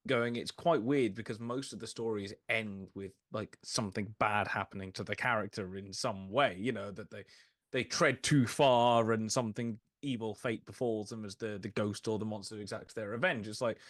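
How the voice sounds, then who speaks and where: A man's voice: fairly low pitch, fairly even intonation, moderate pace, fairly bright tone, no echo, clean studio sound. A man chatting casually as a panelist in a film discussion, with an enthusiastic, conversational manner. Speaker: podcast panelist.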